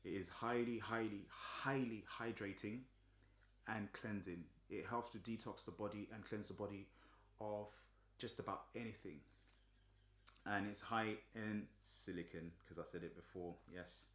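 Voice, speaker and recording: Low-voiced man talking in an echoey room. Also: measured pace. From Zimbabwe. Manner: relaxed, many ums and restarts. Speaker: vlogger. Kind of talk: casual explanatory talk.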